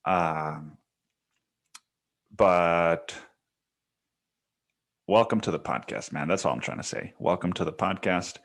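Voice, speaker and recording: A man's voice: deep voice, takes it slowly, pauses often, a bit bright. A man hosting a solo podcast from his bedroom, talking in a casual, rambling, profane and slangy way. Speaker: podcast host. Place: bedroom used as home studio.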